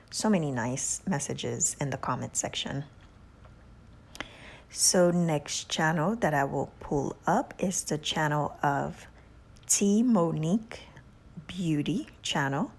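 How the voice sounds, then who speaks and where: A woman with a Haitian accent hosting a casual vlog, giving gushing shout-outs with drawn-out emphasis and soft whispery asides. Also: sits low. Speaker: beauty channel host.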